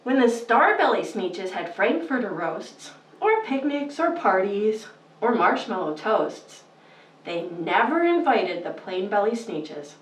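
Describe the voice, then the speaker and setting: Slow, very animated woman storyteller. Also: mid-range voice, a little hiss or hum. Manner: exaggerated, sing-song emphasis for young children. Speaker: children's storyteller. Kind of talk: animated bedtime story reading. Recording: roomy, distant microphone.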